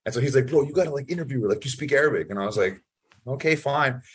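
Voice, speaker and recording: A man's voice: mid-range voice, slightly rough. A young man, born in Italy, telling stories in a casual interview with lots of fillers and acted-out quotes. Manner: fast, animated storytelling full of fillers. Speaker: podcast guest.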